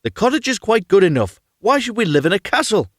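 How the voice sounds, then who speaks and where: A man's voice: sits high, big pitch swings, brisk, fairly bright tone, no room sound, studio quality, pitched above the performer's own voice, full character voice. A man voicing a meek, reluctant husband in a storybook tale, pleading and hesitant.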